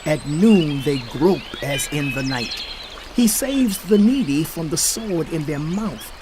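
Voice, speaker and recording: Eliphaz, slow high-voiced biblical counselor. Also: male voice, expressive, background noise. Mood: solemn, assured. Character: Eliphaz, male biblical elder earnestly counseling a suffering friend. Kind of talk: dramatized scripture reading.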